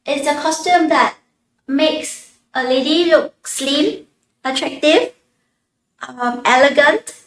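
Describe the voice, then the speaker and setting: A woman in her thirties from northern Vietnam introduces herself in careful English with a Vietnamese accent. Her voice is high and her delivery slow and deliberate. The room gives her voice a clear echo. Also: a bit bright. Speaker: social worker. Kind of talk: self-introduction to camera.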